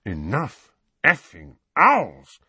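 A man's voice: medium pitch, expressive, moderate pace, gravelly, sharp tone, no echo, pitched above the performer's own voice, exaggerated voice. A man voicing a middle-aged, hot-tempered uncle in an audiobook, nasty and indignant, sputtering and bellowing. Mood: exasperated.

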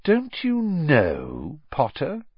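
A man voicing a sly, malicious schoolboy bully who speaks quietly and slowly with a sneer. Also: fairly low pitch, animated, no room sound, lo-fi sound.

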